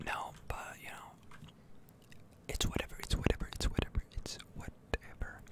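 A person whispering ASMR commentary over a video game, rambling a little and repeating words, close to the microphone. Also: moderate pace. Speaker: gaming streamer, ASMR video host.

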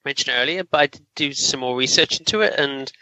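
A man's voice: mid-range voice, fast, pushed and bright, dry recording, rough recording. A man talking casually as a co-host on a film review podcast.

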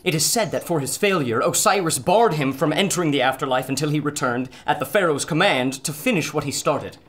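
An actor performs an excitable scholar from a pulp radio adventure. The voice is medium in pitch, anxious and theatrical. The phrasing is learned and full of dread. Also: brisk, close to an everyday voice. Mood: grave, scholarly. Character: excitable, learned doctor of antiquities, prone to dread.

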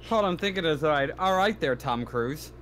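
A man's voice: a little high, expressive, brisk. A man joking casually as a player in a tabletop game, on a noisy home voice-chat microphone.

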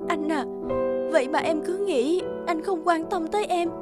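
A woman voicing an emotional working wife and mother in a cartoon, with an expressive, plaintive delivery. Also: very high-pitched, normal speed. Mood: tender. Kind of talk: animated story voice acting. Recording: background music.

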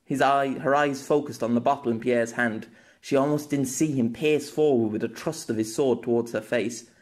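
A man's voice: medium pitch, fairly even intonation, moderate pace, a little mellow. Man narrating an action story dramatically. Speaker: audiobook narrator and author.

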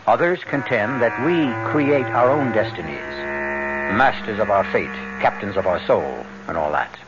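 A man's voice: lowish voice, lively intonation, normal speed. A man hosting a 1970s radio drama with wry, dramatic, conversational narration addressed to the listener. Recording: muffled vintage radio broadcast. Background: music.

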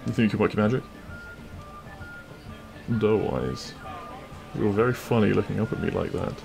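A man playing a cheeky, kind-hearted bandit prince in an intimate audio roleplay, with a gravelly voice and a teasing, reassuring manner. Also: on the low side, normal speed, music in the background.

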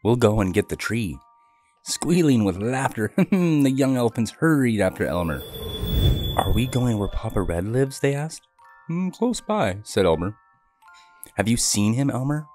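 A man's voice: mid-range voice, big pitch swings, unhurried, darkish tone, faint music behind. A man co-hosting a children's story read-aloud. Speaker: co-host reading aloud.

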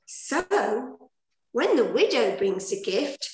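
A woman narrates a rhyming pantomime script at an unhurried pace. Her high voice rises and falls in a lively way, in an echoey room on a video call. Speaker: director and fairy narrator. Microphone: computer mic on video call.

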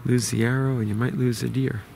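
A man in his forties with a New York accent, quietly explaining a hunt to a close-held camera outdoors in the woods. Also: steady tone, fairly quick, gravelly, a little hiss or hum. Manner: quiet, low-key explaining. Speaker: bowhunter. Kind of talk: hushed outdoor hunting vlog. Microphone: camera held close to face.

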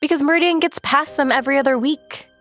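A woman's voice: sits high, quick pace, a bit bright, soft background music, voice pushed up. A woman roleplaying a stubborn, worried young daughter in a tabletop game. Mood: argumentative.

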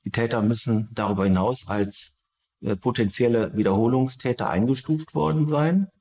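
A man, explaining formally and in measured phrases as a criminology expert. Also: mid-range voice, a little mellow.

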